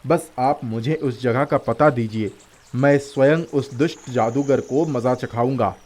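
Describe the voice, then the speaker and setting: A gallant young prince acted by a man with a mid-range voice, from an animated storybook. He is courteous, protective and earnest, and speaks in formal, chivalrous phrases. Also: medium pitch, a little slow, lightly acted. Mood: indignant, resolute.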